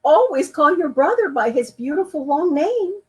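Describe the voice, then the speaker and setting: A woman's voice: high-pitched, big pitch swings, moderate pace, pitched above the performer's own voice. A woman voicing a loving mother who is tender and easily moved to tears. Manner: tender, then wailing. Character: loving, emotional mother, sobbing when scared.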